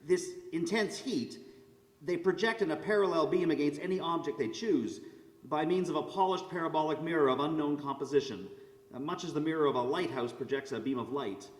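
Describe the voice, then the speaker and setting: A slightly high male voice echoes in a large hall. A man plays a learned scientist, deliberate and academic, lecturing in a live radio drama. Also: lightly acted. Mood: pondering. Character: learned male scientist professor explaining his theory. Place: live performance in a church.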